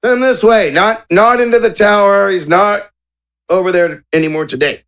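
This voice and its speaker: Casting: a man playing a loud male castle guard for a fantasy game, high-pitched, hollering across the yard. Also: normal speed, clear, resonant tone. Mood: urgent hollering. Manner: calling out loudly.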